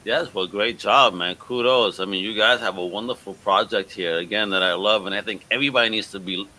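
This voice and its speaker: A man's voice: fairly low pitch, brisk, sharp tone, rough recording. A man hosting a casual podcast interview, slangy and enthusiastic, hyping up the guests. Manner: enthusiastic, hype-giving. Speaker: podcast host.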